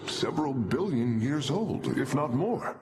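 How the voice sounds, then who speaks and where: An actor voicing an eager alien engineer in a video game, formal, precise and earnest. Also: male voice, mid-range voice, big pitch swings, measured pace, over loud music. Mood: intrigued, earnest. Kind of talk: video game cutscene acting. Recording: loud ambient noise behind the voice.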